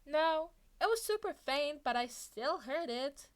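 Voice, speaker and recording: A woman voicing an excitable, anxious, chattering teenage boy hero student in a fan fiction reading. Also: high-pitched, a little slow, pitched above the performer's own voice. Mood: cheerful, teasing. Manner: breathless, exclaiming, rambling.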